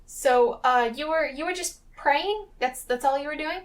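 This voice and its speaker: Woman as high-voiced, hesitant fantasy seer. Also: moderate pace, recorded from a distance, lightly acted. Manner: polite, tentative, questioning. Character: earnest, hesitant female seer in fantasy adventure.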